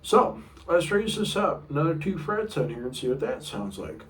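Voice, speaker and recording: A middle-aged man with a low baritone voice teaching a casual guitar lesson, chatty and rambling, sometimes singing short notes. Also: very animated, normal speed, a bit bright, slight background noise. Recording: room mic a bit far off, guitar playing.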